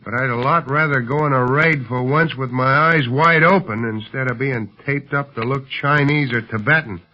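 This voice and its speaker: A man's voice: medium pitch, moderate pace, pushed and bright. A man playing a wisecracking, griping pilot sidekick in an old radio serial, with folksy, slangy talk. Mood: comic grumbling. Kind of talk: 1930s radio acting. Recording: muffled vintage 1930s radio recording.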